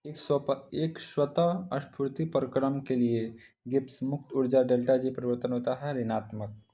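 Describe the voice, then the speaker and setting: Man, Indian accent, exam coach, mellow relaxed tone, medium pitch, instructional question-and-answer delivery. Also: normal speed. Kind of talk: reading practice quiz questions and giving answers.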